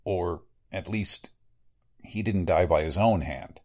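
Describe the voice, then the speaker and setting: A man playing a grave, blunt medical examiner in a 1940s-style radio drama, with a deliberate delivery. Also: deep voice, a little mellow. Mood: grave, uneasy.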